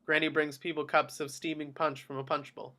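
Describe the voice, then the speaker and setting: A man's voice: on the high side, level tone, brisk, bright, forceful tone. A man reading stage directions as the narrator of a script table read.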